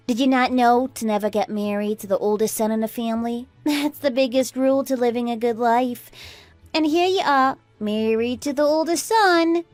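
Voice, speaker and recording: A woman playing a smug, catty sister-in-law in a radio drama, preachy and boastful in an animated way. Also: on the high side, no room sound, natural voice. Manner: animated, preachy, boastful, swooping into indignant questions. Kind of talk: scripted radio drama dialogue.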